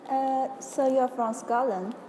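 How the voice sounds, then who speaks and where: Slow, hesitant woman interviewer. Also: high voice, steady tone, low-quality recording. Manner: hesitant, halting with many fillers. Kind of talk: interview questions. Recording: loud crowd noise behind.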